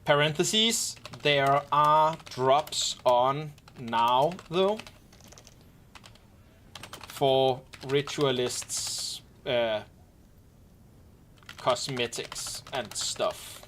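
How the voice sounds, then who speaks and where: A man live-streaming, gently and casually explaining game mechanics to his squad with wry asides. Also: mid-range voice, extremely slow, pauses often, slight background noise. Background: faint keyboard typing and clicks.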